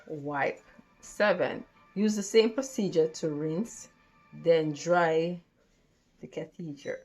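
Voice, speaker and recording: Female tutor for a read-along lesson: lowish voice, unhurried, careful and a bit halting. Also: rough recording. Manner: halting, careful reading with stumbles and repeats. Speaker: tutor reading study material aloud.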